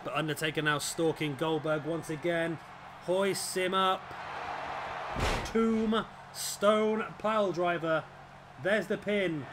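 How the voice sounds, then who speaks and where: A man with a raspy, fading voice, commentating on a wrestling video game as the show's host. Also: sits high. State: hoarse, voice fading. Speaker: host and commentator of a gaming series. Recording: clearly noisy background.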